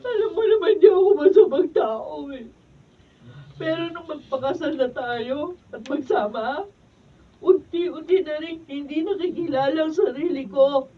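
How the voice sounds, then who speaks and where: A dull, distant recording carries a very high voice: a young Filipino wife in a radio drama. She is long-suffering and outspoken, pouring out her words with bitter emotion. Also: a little slow. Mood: tearful confession.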